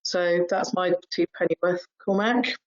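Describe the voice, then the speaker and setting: A woman's voice: fairly low pitch, fairly even intonation, measured pace. Chatty woman on a muffled line. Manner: chatty, many fillers, rhetorical "right?". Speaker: panel speaker. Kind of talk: webinar panel discussion.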